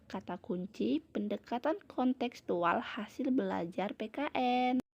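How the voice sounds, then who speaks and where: A woman's voice: a little high, expressive, slow pace, creaky, darkish tone, muffled recording. A young woman reads a research presentation aloud in a formal, recited manner, as a university student presenter. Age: young adult.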